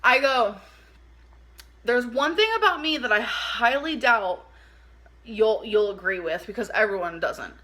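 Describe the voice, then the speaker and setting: Girl doing a casual storytime vlog, kinda high super bright voice, chatty and animated, says like a lot. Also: unhurried. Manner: animated, informal, acts out dialogue, heavy use of "like". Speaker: vlogger telling a personal story.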